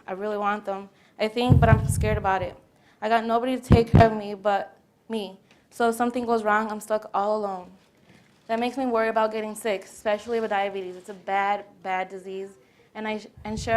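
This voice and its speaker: A young American woman reading a first-person testimony as a plain readers theater monologue. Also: medium pitch. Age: young adult. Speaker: student performer.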